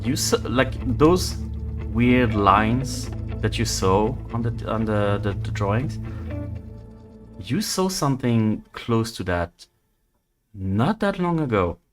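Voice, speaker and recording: Low-voiced man running a tabletop game. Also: animated, slow, over music. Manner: loose, rambling, swearing freely. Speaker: game master. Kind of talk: narrating and voicing a tabletop horror game.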